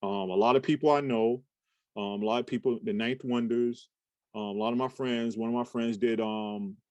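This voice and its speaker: A man in his fifties chats in a loose, meandering way, stopping often to search for words before carrying on. His voice sits at an ordinary pitch for a man. The recording is dry and close, with no room sound. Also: moderate pace, dry recording. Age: 50s. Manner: rambling, relaxed storytelling with many fillers and restarts. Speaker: talent manager and guest. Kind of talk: casual interview chat.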